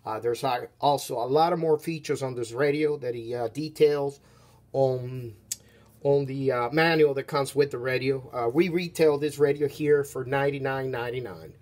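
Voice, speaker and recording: Male, medium pitch, casual product demonstrator, halting delivery, step-by-step explanations, unscripted. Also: fairly even intonation, darkish tone. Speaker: radio seller giving a product demonstration.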